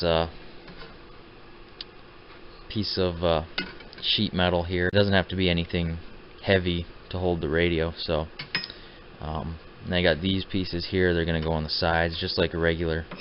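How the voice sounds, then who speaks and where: A man with a deep voice talks through a DIY job in a casual, plainspoken way, addressing viewers like buddies. The audio is dull and lo-fi, with faint noise behind him. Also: little pitch movement, measured pace. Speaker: DIY truck restorer hosting his build videos. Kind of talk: casual hands-on how-to narration.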